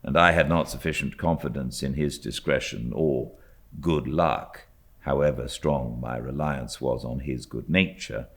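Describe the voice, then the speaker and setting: A man's voice: very deep voice, a little slow, fairly bright tone, slightly rough. A British man with a British accent reading classic literature aloud as an audiobook narrator. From Britain.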